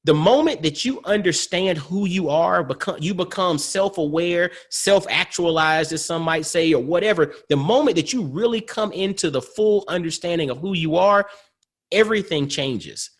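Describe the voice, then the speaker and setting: A man's voice: high voice, lively intonation, normal speed. A man in his forties hosting a conversational motivational podcast interview, speaking in an earnest, affirming and emphatic way. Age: 40s. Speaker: podcast host.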